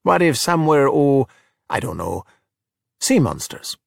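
A man voicing an anxious, excitable young boy who stammers and babbles in a storybook. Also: fairly high pitch, measured pace, gravelly, no room sound, studio quality, heavily acted. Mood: nervous, eager. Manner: nervous, stammering. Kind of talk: children's storybook character voice.

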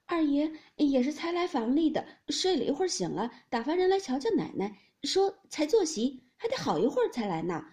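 Nervous young maidservant for a storybook, played by a woman: high, placating, lively delivery. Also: fairly quick, few pauses. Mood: frightened, confessing. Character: nervous, nimble young maidservant girl reporting to mistress. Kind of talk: dramatised audiobook of classic novel.

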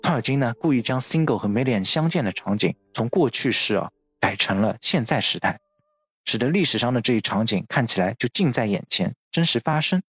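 A man's voice: mid-range voice, expressive, a little fast, a bit bright, slightly rough, no echo. A man hosting a podcast, narrating literary history from a script in a bookish, explanatory manner. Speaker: podcast host. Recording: music under the voice.

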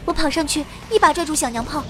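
A woman playing a young fantasy heroine who narrates her own adventure in expressive, scripted radio-drama style. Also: high-pitched, fast, natural voice. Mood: dramatic. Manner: expressive storytelling. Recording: noisy battle effects behind.

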